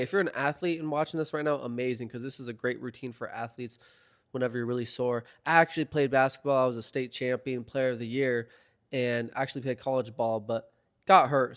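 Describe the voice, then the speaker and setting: A young man talking through a foam roller routine in a relaxed, chatty voiceover, his voice a little hoarse as he recovers from being sick. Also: medium pitch, fast talker. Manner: relaxed, chatty, filler-heavy. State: slightly hoarse from recovering from illness. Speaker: fitness vlogger. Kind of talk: casual instructional voiceover. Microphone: voiceover mic.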